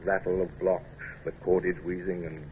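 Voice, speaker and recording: A man's voice: low voice, little pitch movement, moderate pace, a little mellow, close to an everyday voice. A man acting a proud, self-conscious English naval captain in an old-time radio drama, brusque and clipped but hesitant when flustered. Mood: distracted, hesitant. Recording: muffled, hissy old radio recording.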